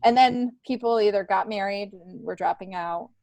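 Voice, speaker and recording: A middle-aged American woman telling stories casually as a guest, in a halting, animated way that often restarts sentences. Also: mid-range voice, gravelly, no echo. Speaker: podcast guest, stand-up comedian. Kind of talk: casual conversational storytelling.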